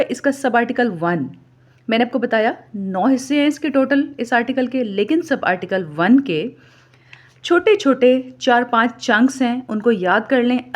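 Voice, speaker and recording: A Pakistani woman teaches in a fairly high-pitched voice. She explains step by step like an exam tutor, repeating points so they can be memorised. English terms and formally read clauses are woven through her explanation. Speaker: law lecturer. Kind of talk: instructional lecture for exam students.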